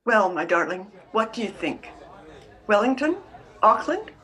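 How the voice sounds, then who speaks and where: A woman's voice: medium pitch, sing-song, unhurried, sharp tone, soft background music. A woman playing an adoring, refined sweetheart in a period radio melodrama, with a genteel, effusive manner. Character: adoring, refined woman in love, romantic and carefree.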